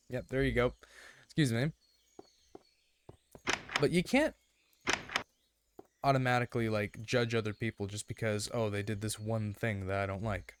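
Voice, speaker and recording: A man with a slightly raspy, rough throat giving casual, rambling gameplay commentary in an earnest way, often checking in as he goes. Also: fairly low pitch, a little slow, pauses often, noisy background. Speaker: gaming video host.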